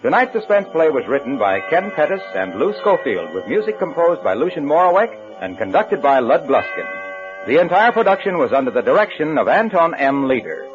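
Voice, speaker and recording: A man working as a radio announcer and pitchman, delivering scripted 1940s-style commercial copy. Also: very animated, fairly quick, over music. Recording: dull vintage radio broadcast.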